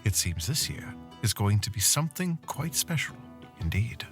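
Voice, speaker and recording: A man playing a storybook narrator, telling a scripted holiday tale in a deliberate, dramatic way. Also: on the low side, very animated, music in the background. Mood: descriptive.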